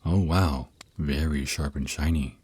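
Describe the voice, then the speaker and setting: A man's voice: rumbling low, fairly even intonation, slightly rough, full character voice. A man voicing a teasing, protective vampire naga boyfriend who mentors his lover in an intimate, reassuring ASMR roleplay. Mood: calm, instructive. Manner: soft teasing endearments, reassuring, unhurried. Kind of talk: ASMR roleplay, intimate one-sided dialogue.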